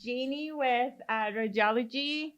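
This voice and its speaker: A woman's voice: sits high, a little slow, a bit bright, clear, resonant tone, muffled recording. A woman from Mexico with a Mexican Spanish accent, hosting a podcast and telling stories in casual chat, full of fillers. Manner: chatty, storytelling with many fillers. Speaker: podcast host.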